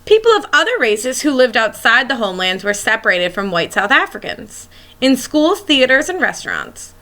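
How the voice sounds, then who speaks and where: A woman's voice: a little high, sing-song, normal speed, pushed and bright, slight background noise. A woman schoolteacher reading a children's picture book aloud, expressively and as if speaking directly to young listeners.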